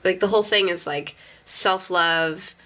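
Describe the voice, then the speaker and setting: Woman podcast host, slightly low voice, super informal, says like a lot. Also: unhurried, pushed and bright. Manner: informal, peppered with "like". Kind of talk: casual chat.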